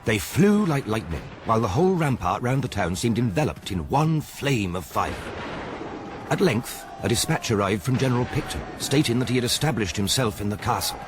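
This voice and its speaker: An earnest, observant male quartermaster, voiced by an actor, reports battle formally with a very animated melody. It is a radio drama monologue with clear background noise. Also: mid-range voice, moderate pace, close to an everyday voice. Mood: tense, relieved. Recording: noisy radio drama recording.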